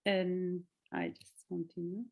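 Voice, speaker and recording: A woman's voice: fairly low pitch, little pitch movement, slow pace. A woman moderating a panel, explaining steadily and handing the floor to other speakers. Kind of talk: presentation.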